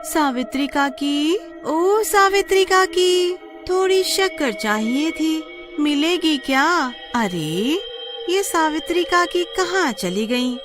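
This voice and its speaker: Woman doing a high, singsong cheeky village woman. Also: expressive, slow. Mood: calling out. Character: cheeky, greedy village woman who turns frightened. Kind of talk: Hindi cartoon horror story acting. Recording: music playing behind the voice.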